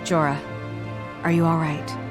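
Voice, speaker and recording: An actress playing a compassionate human heroine in a video game, speaking in a gentle, earnest way. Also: female voice, sits low, flat delivery, unhurried, faint music behind. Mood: concerned. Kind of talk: dramatic video game cutscene acting.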